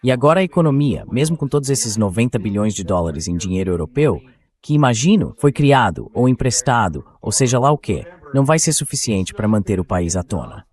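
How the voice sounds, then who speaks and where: A somewhat low male AI dubbing voice plays the part of interviewer. He frames political points as rhetorical questions. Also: very animated, a little fast, a little mellow. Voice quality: synthetic AI dubbing voice. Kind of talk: dubbed political discussion.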